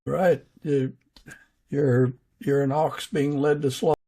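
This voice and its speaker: A man hosting a podcast in a casual interview style, talking haltingly with pauses mid-sentence. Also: mid-range voice, steady tone, warm tone, husky. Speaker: podcast host.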